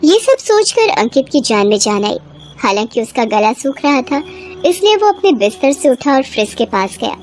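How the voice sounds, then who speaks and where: A woman's voice: high-pitched, big pitch swings, normal speed, darkish tone. A woman storyteller reading a scripted horror bedtime story. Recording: clear background ambience noise.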